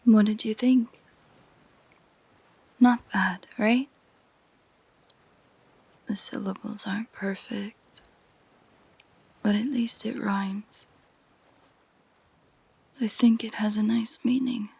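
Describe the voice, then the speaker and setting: Siren for audio roleplay, female performer: lonely, possessive, soft whispery delivery, creaky edges, unhurried and intimate. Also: mid-range voice, fairly even intonation, no echo, exaggerated voice. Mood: wistful, lonely. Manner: slow, gentle, intimate. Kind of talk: roleplay audio drama for a listener.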